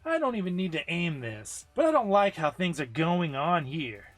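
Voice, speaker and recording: A brash young woman fighter, acted out by a man, pitched well above natural talk and swooping theatrically. The voice is rough-edged and taunting, hot-tempered and overdramatic, over clear background music. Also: big pitch swings, normal speed. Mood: tense, determined. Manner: shouted, taunting, overdramatic delivery.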